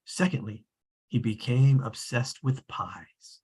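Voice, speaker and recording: A very husky narrator read by a performer at a table read tells a storybook tale. The delivery is measured and formal, with dry precision and an omniscient air. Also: medium pitch, a little mellow. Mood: measured, wry.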